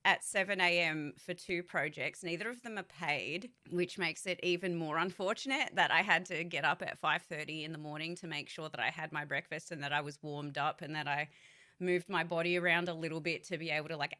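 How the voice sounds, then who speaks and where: Frank, sweary young woman, bright voice. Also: sits low. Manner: frank and sweary, talks fast with restarts. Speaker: voice actor and streamer. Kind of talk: candid casual vlog chat.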